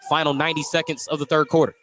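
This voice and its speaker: A man's voice: a little high, flat delivery, rapid-fire, a bit bright, a little hiss or hum. A man doing live radio play-by-play of a basketball game, calling each play in clipped, detailed bursts. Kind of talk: live radio sports commentary. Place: indoor high school gymnasium.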